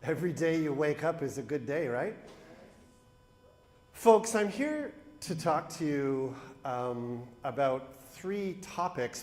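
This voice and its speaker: Guy w a big booming voice talking to a crowd at an event, pitched a bit high, holding a handheld mic. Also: echoey room. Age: young adult. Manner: loud, booming, engaging the crowd. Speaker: guest speaker, mental health educator. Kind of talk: motivational talk to an audience. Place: event hall. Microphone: handheld microphone.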